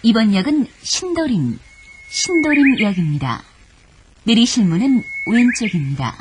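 A woman reading scripted subway announcements in a formal, measured manner. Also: a little high, big pitch swings, slight background noise.

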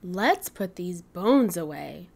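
A woman's voice: fairly low pitch, very animated, takes it slowly, creaky, darkish tone, heavily acted. Woman as a helpful, emphatic young stegosaurus. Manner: stresses key words in correction. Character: helpful young boy stegosaurus, correcting his friend.

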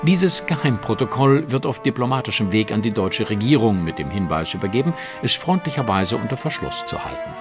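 An actor playing a confident, sardonic Victorian detective in a German audio drama, polite, measured and ironic. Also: male voice, fairly low pitch, lively intonation, over music. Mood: calm, menacing.

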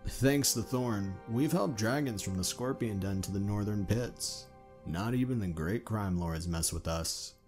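A man's voice: lowish voice, a little slow, a little mellow, soft background music, lightly acted. A man playing a blunt, inquisitive male dragon outlaw in an audio drama, speaking emphatically. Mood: boastful.

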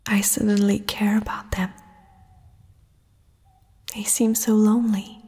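Casting: young fantasy princess played by a woman, proud but uneasy, very breathy whisper, unhurried, intimate ASMR delivery. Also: mid-range voice, level tone, dark tone, dry recording. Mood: tender, concerned. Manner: hesitant, slow, intimate. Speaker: ASMR roleplay performer.

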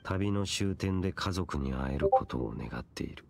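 A stoic fantasy game wanderer, with an extremely low voice. He speaks gravely and curtly, in measured, archaic phrasing. There is faint noise behind him. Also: expressive. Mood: solemn, steadying. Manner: solemn, curt, archaic and measured. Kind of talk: Japanese anime game voice acting.

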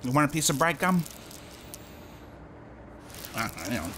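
A man's voice: on the high side, lively intonation, unhurried, fairly bright tone, a little hiss or hum. A man in an audio drama playing a cocky, eccentric starship engineer, chatty, slangy and rambling. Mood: excited, breezy.

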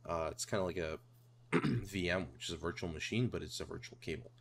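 Male tutorial host, low-pitched, informal and instructional, slight hum behind the voice. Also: a little fast. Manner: informal, step-by-step explaining. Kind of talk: casual screen tutorial narration. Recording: clear background noise and hum.

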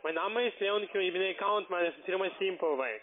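High-pitched foreign-accented salesman on a tinny phone. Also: slight background noise. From Slovakia. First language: not German. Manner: halting, formally polite, broken grammar. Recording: narrow-band, tinny phone line.

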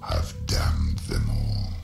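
An extremely deep, menacing shadow condemns in a gravelly growl. Each word drags ominously, as in a dark fantasy game, with music around it. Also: male voice, wildly swooping pitch, heavily acted. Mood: menacing, condemning. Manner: slow, ominous, accusatory. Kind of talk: dramatic video game acting. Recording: echoing voice over loud music.

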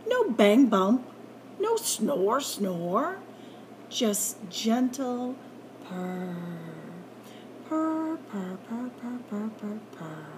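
A woman reading a picture book aloud to children in an animated, playful way, drawing out the sound words. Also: medium pitch, noisy background. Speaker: library story time reader.

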